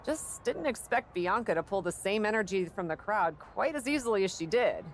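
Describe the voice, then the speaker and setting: A woman's voice: medium pitch, lively intonation, moderate pace, slight background noise, natural voice. A woman playing a confident, determined indie pro wrestler with a wry, self-assured manner in video game cutscene dialogue.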